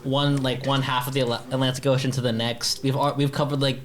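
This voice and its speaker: A man's voice: mid-range voice, flat delivery, fast talker, crowd noise. A teenage boy from the Philippines chatting casually at a table with other students, rambling with many fillers and restarts. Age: teens. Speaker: student club member. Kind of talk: casual round-table chat.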